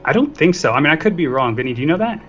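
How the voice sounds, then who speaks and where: A man's voice: fairly high pitch, very animated, racing, a bit bright. A man chatting casually as a guest on a podcast. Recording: dull lo-fi mic, faint music behind.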